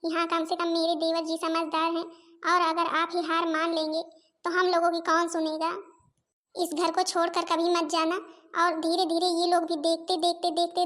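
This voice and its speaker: A woman's voice: very high voice, completely flat delivery, normal speed, a bit bright. A woman voicing put-upon Indian daughters-in-law in a family comedy cartoon, with a complaining, pleading manner. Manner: complaining, pleading, addresses relatives and viewers directly.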